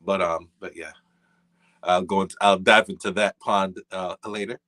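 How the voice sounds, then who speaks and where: A man's voice: low-pitched, steady tone, normal speed, sharp tone. A middle-aged man from Jamaica with a Jamaican accent, a jazz musician talking as a guest in an easygoing, rambling way. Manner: easygoing, rambling, with many fillers and restarts. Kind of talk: casual interview chat.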